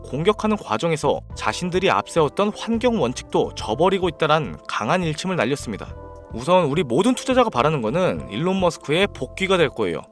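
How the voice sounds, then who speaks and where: A man hosting a stock briefing, reading scripted market narration in a presenter's style and addressing viewers directly. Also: on the high side. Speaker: stock briefing channel host.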